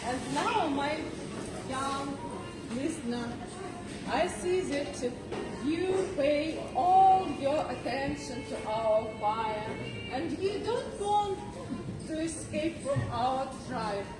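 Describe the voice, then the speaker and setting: High-voiced woman hosting slowly over loud music. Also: animated. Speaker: host of a speech contest. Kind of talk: theatrical event hosting. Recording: distant roomy pickup under loud music.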